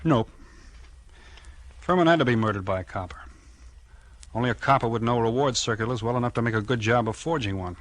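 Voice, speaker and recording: A man with an American accent acting a steady, tough small-town police chief in a 1940s radio crime drama, plain-spoken and authoritative. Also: medium pitch, brisk, long pauses, natural voice. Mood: sober, regretful.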